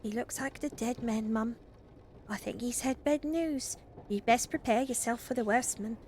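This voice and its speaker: A woman's voice: fairly high pitch, normal speed, a little mellow, a little hiss or hum, pitched above the performer's own voice, lightly acted. A woman voicing an anxious, gossipy, deferential young parlour maid in a storybook reading. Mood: alarmed.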